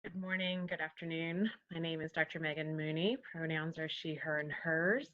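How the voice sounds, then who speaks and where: A woman psychologist introducing herself in a webinar, measured with some fillers. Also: deep voice, fairly even intonation. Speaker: psychologist in private practice. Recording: dull lo-fi call audio.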